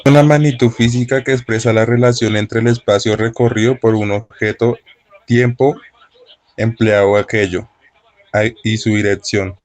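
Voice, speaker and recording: A man's voice: lowish voice, fairly even intonation, normal speed, a little mellow. A male student answering in class by reciting a textbook definition.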